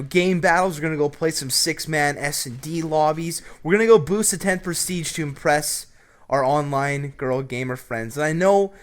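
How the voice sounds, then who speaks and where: Brash young male advice vlogger, slightly high. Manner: brash, joking, with swearing. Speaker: advice show host. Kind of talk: casual advice commentary over gameplay.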